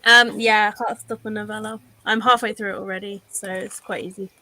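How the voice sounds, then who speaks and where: A woman's voice: mid-range voice, steady tone, a little fast, bright, forceful tone, rough recording. A cheerful woman in her early thirties chatting playfully on a video call. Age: 30s. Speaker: guest. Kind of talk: casual group chat.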